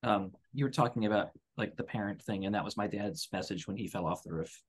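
A man's voice: fairly low pitch, brisk, slightly rough. A man telling casual anecdotes as a podcast co-host, acting out the quoted dialogue. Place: indoor room on a video call.

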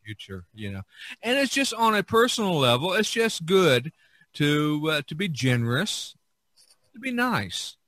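A man hosting a podcast in a conversational, halting, reflective way. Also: a little high, big pitch swings, fairly bright tone, no echo. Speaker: podcast host.

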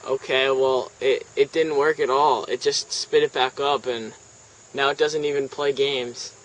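A teenage male making a deadpan prank phone call in a halting, straight-faced way, recorded outdoors at a table. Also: mid-range voice. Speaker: prank caller. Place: outdoors on a house's back deck. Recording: noisy outdoor camera audio, insects, traffic.

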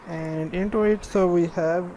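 A woman with a fairly low voice presents a programming screencast at a very slow pace. She speaks in short, halting pieces, walking through each step. Faint noise sits behind her. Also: steady tone, mellow, low-quality recording. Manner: halting, piecemeal phrasing while typing. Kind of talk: step-by-step screencast narration of coding.

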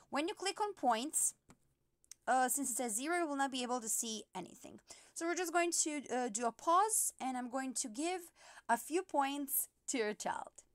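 A woman's voice: sits high, no room sound, clean studio sound. A woman teacher patiently walking parents through an app step by step, with frequent fillers. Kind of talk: app tutorial screen recording.

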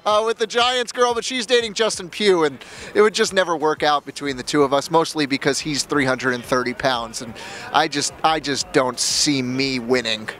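Young man, bright high-pitched street interviewer. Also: moderate pace. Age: young adult. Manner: joking, banter-heavy. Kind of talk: casual comedic street interviews. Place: busy city street at night. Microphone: handheld reporter microphone.

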